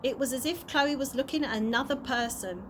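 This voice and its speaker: A woman reading a children's chapter book aloud as an expressive storyteller. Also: sits high, a little fast. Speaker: early years practitioner reading a story. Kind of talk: children's audiobook read aloud. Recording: noisy amateur home recording.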